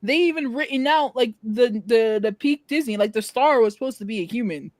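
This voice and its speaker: A woman's voice: on the high side, lively intonation, a little fast, a little mellow, dry recording. A woman chatting casually on a panel about films, arguing her points emphatically in slangy speech. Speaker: podcast panelist.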